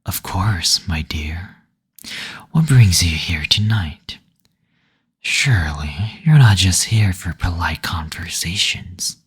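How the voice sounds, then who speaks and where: Suave demon receptionist, male actor: deep, heavily breathy voice, seductive and teasing, for intimate audio roleplay. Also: expressive, exaggerated voice. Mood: seductive, attentive. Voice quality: breathy, husky, near-whispered. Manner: slow, coy teasing with endearments and drawn-out hums.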